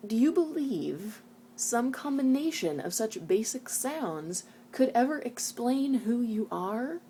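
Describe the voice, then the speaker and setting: A woman's voice: on the high side, warm tone. A woman vlogger speaking earnestly and reflectively, as if sharing thoughts from a book. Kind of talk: reading book passages aloud and informal reflective talk. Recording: noticeable background noise.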